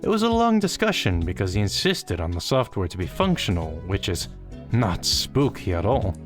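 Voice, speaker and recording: Little ghost, squeaky, panicky, stammering, very lively pitch, faint music behind. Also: male voice, moderate pace. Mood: fretful.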